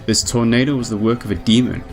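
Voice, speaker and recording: A man's voice: medium pitch, steady tone, quick pace, close to an everyday voice. A man voicing a proud young witch who narrates her tale in a grave, formal, ornate way. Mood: solemn, intense. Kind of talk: dramatic visual novel narration. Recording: music underneath.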